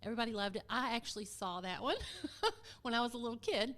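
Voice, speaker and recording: A woman's voice: a little high, quick pace, a bit bright. A middle-aged woman from Texas talking as a podcast guest, telling local history in a casual, conversational way. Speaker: podcast guest, community theater vice president of production.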